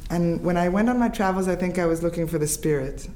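A woman's voice: on the low side, dark tone, slight background noise. A woman in her fifties from Israel, English her first language, talking reflectively as an interview guest, with many halts and restarts. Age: 50s. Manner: halting, restarts and fillers, reflective. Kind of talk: conversational interview.

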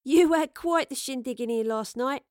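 Woman acting a working-class woman, cheeky, colloquial, high and chirpy, storybook drama, dry sound. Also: moderate pace, a little mellow, no room sound, natural voice. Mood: chuckling banter. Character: cheeky, brisk working-class former tavern barmaid turned agent.

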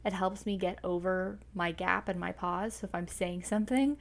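A woman's voice: on the low side, steady tone, moderate pace, vocal fry. Chatty woman with a stutter. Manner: stuttering, freezes up mid-sentence, laughs often. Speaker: podcast co-host. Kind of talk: casual podcast chat. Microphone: podcast microphone.

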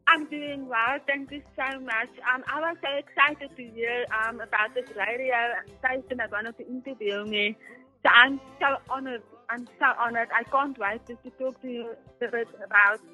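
A young South African woman whose first language is Afrikaans, a radio guest on a phone line, upbeat and quick to laugh. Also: a little high, steady tone, sharp tone, soft background music. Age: young adult. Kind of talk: phone interview.

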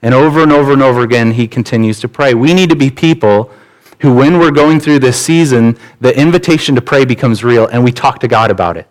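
A man's voice: mid-range voice, brisk, rough recording. A man preaching a sermon as pastor, earnest and conversational, often restarting his phrases. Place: church.

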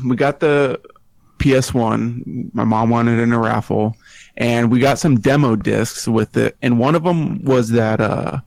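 A man reminiscing casually on a podcast, pausing often with fillers as he searches for words. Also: medium pitch, darkish tone. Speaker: podcast guest.

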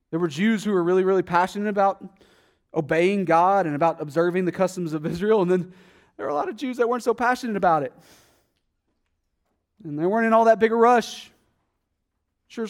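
High-voiced pastor preaching conversationally. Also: male voice. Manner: conversational, asks the congregation rhetorical questions; dramatic swings in loudness and pitch for emphasis. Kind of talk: sermon.

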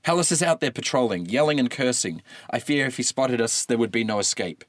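A man's voice: mid-range voice, expressive, brisk, dry recording, close to an everyday voice. A man voicing an anxious male warrior in a read-aloud myth, urgent and a little hesitant.

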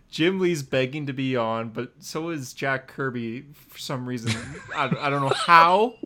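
A man with an average-pitched voice hosts a podcast. He banters casually and playfully. His delivery is tongue-in-cheek and conversational. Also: expressive, a little slow, background noise. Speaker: podcast host. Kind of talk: casual joking banter about comics.

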